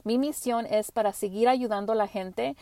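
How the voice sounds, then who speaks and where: A woman whose first language is English hosting her spiritual podcast, talking gently with frequent restarts and fillers. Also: fairly high pitch, moderate pace, dry recording. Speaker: podcast host. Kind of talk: casual spiritual monologue.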